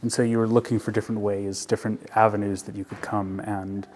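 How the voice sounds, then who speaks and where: A man's voice: on the low side, flat delivery, fairly quick, a little mellow. A man hosting an interview, giving an earnest, formal introduction and then asking questions. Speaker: interview host.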